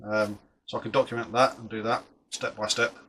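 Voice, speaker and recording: A middle-aged man talks casually while he works on a model at his bench. His voice is bright and forward. He rambles and restarts his sentences, and there is a faint hiss of noise behind him. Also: lowish voice, flat delivery, brisk. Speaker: model aircraft builder and channel host.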